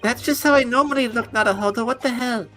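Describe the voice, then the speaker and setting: A woman's voice: on the high side, quick pace, fairly bright tone, faint music behind, lightly acted. A woman voicing a prim young Japanese woman in a fan dub of a game, speaking in an indignant, accusing way.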